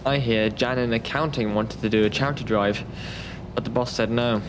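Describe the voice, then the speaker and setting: A man's voice: mid-range voice, fairly even intonation, normal speed, noisy background, natural voice. A man playing a tired, self-deprecating call-centre worker who banters drily in an audio drama. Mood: wry. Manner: dry, grumbling banter. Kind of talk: naturalistic audio drama acting.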